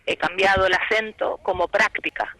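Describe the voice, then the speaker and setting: A Canarian woman talks things through in a relaxed panel chat, reflective and measured. Her tone is bright and clear, and she sounds close to the mic in a dry space with no room echo. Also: medium pitch. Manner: reflective, rising emphasis when voicing imagined questions. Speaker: actress and journalist guest. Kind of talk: casual panel discussion.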